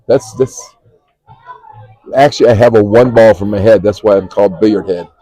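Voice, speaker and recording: Man, pool commentator, dark mellow tone, husky, jokey and loose. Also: fairly low pitch, moderate pace. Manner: jokey, swears freely, laughs often. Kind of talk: casual commentary chat. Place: pool hall.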